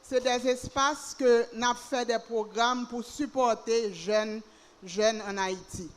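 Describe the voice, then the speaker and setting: A woman's voice: on the high side, fairly even intonation, slow pace. A woman cultural officer introducing herself and steadily listing the programs she supports. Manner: explanatory, lists items steadily. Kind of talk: introducing herself to a panel audience.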